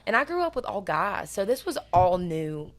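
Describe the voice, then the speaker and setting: A young woman with a naturally raspy voice, telling stories in an earnest, rambling way as a singer being interviewed. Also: mid-range voice, big pitch swings, moderate pace, crowd noise. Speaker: guest singer. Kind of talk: casual interview chat.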